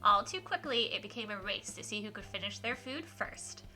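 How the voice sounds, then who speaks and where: A woman livestreaming a game, switching between informal chat with viewers and expressive read-aloud in a giggly, animated manner. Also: mid-range voice, normal speed, soft background music. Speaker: livestreamer hosting a visual novel playthrough.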